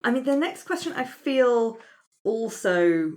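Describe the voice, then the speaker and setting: A woman's voice: a little high, big pitch swings, unhurried, a little mellow. A woman chatting casually as a player on a role-playing show. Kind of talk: casual table chat.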